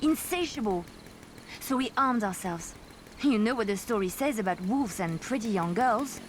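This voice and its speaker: An actress plays a romantic young French woman with a high voice and a French accent. She muses dramatically, tough yet dreamy, as in a video game. Clear background noise sits behind her. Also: expressive, normal speed, natural voice. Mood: dreamy, intense. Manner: musing, dramatic, slipping into French. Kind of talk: video game character dialogue.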